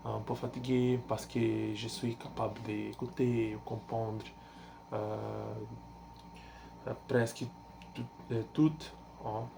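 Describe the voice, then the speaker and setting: A young man from Brazil speaks French with a Brazilian Portuguese accent at a slow, unhurried pace. His voice carries a gravelly edge, and he keeps stopping to hunt for words and restart his sentences. A faint hiss of noise sits under the recording. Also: medium pitch. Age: 20s. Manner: halting, searches for words with many fillers and restarts. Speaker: language learner vlogger. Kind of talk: casual self-introduction.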